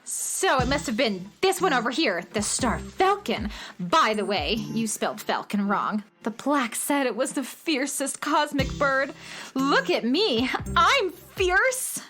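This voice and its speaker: A woman's voice: high voice, big pitch swings, a little slow, bright, forceful tone, faint music behind, heavily acted. An actress playing a vain, bossy female hatchling in a children's radio comedy, boastful and dramatic. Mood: eager, proud. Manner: boastful, dramatic, critical of others' mistakes. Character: vain, bossy female hatchling seeking her grand origins.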